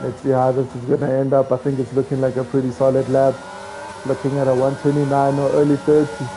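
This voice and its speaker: A man commentates a sim race live from his home mic, chatty and quick to get excited. His voice sits at a middle pitch, and he talks to viewers in the chat. Loud music and engine sounds from the game play behind him. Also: low-quality recording. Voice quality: says he has a loud voice. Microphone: home streaming mic.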